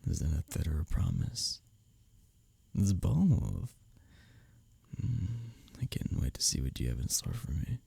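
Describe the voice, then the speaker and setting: A man playing a smug, taunting office rival in an intimate roleplay audio drama, sardonic and teasing, with a husky low voice. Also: sing-song, unhurried, pauses often, dark tone, no echo, heavily acted. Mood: flirtatious, smug. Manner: sardonic, teasing, needling, with breathy chuckles.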